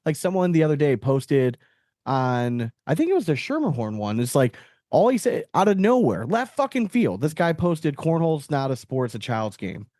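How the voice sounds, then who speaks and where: A man's voice: on the high side, big pitch swings, normal speed, dark tone, dry recording, clean studio sound. A man co-hosting a podcast in casual banter, swearing freely and airing blunt opinions. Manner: profane, opinionated. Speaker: podcast co-host.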